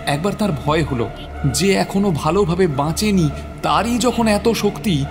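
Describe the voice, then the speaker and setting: A male narrator. He tells a horror story in a dramatic, suspenseful manner, with clear music playing behind him. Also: high voice, expressive, measured pace. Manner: theatrical, suspenseful.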